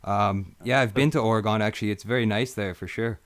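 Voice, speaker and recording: A man's voice: lowish voice, steady tone, a little fast. A Canadian man from Northern Ontario hosting a live interview show, agreeable and full of fillers, often saying "for sure". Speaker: host.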